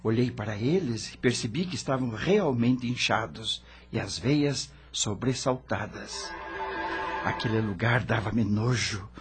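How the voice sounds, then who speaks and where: A man's voice: lowish voice, measured pace, over music, natural voice. An actor playing an anguished dead rock star who narrates his own suffering dramatically in a radio drama.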